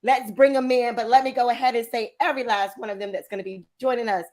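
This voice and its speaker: Woman, talk show host, fairly high voice, bubbly, excitable. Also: fast. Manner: excitable, bubbly, hypes up guests. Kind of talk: livestream talk show hosting.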